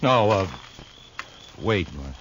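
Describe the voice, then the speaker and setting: An actor playing a surly, defensive hired hand in a 1950s radio Western, with gruff rustic speech. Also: male voice, low-pitched, very animated, slow pace, fairly bright tone, heavily acted. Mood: reluctant confessing. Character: surly, cornered male hired hand in the Old West. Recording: muffled, noisy old radio recording.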